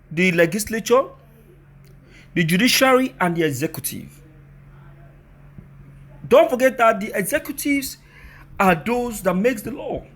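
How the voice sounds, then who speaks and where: A man's voice: high-pitched, a bit bright. A Nigerian man presenting opinionated news commentary, speaking emphatically and rhetorically, addressing his listeners directly.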